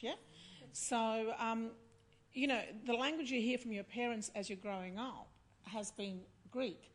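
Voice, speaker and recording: A woman chairing a festival panel, posing questions and musing aloud in a curious, chatty way with frequent fillers. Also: mid-range voice. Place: festival venue before an audience.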